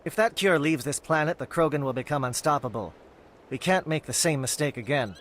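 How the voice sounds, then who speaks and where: An actor playing a brisk, resolute alien captain in a video game, speaking in a clipped, formal, businesslike way. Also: male voice, a little high, expressive, fairly bright tone, slight background noise. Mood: grave, urgent.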